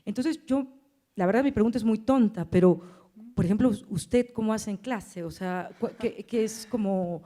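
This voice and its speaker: A woman's voice: mid-range voice, normal speed, warm tone. A woman audience member asks a question at a lecture in a hesitant, rambling, self-deprecating way, with frequent tag questions. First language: Spanish. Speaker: audience member asking a question, language teacher.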